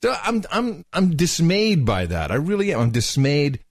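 A man co-hosting a podcast, talking in casual, opinionated banter, loud and incredulous, laughing often. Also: a little high, huge pitch swings, normal speed, no echo, clean studio sound. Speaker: podcast co-host.

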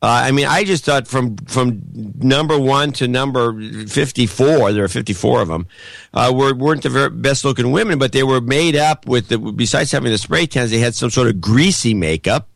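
A man with a cold, his voice gravelly and hoarse, pushing hard and almost shouting through casual opinionated chat as a podcast co-host. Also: mid-range voice, moderate pace, no echo. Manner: loud, pushing air, close to shouting.

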